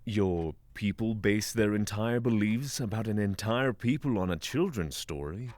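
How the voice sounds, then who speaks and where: A man's voice: fairly low pitch, unhurried, darkish tone, no echo, close to an everyday voice. A man playing a courteous, skeptical spiritual traveller in a fantasy audio drama, with formal, dryly inquisitive phrasing.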